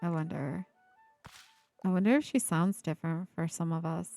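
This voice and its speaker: A woman over twenty-five chatting casually on a live stream, with a breathy, giggly laugh and lots of fillers. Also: lowish voice, moderate pace, warm tone, faint music behind.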